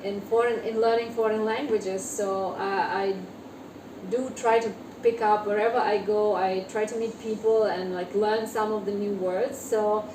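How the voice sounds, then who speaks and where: A woman's voice: mid-range voice, steady tone, a little slow. A woman from Ukraine, a native Ukrainian speaker, answering as a guest author in an interview, hesitant with many fillers. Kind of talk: interview conversation. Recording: echoey room, distant mic, noisy.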